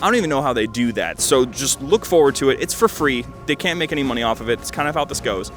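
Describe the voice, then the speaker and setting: A man's voice: medium pitch, expressive, fast, fairly bright tone, faint music behind. A man giving casual, enthusiastic video game commentary as a host, stressing points by repeating words.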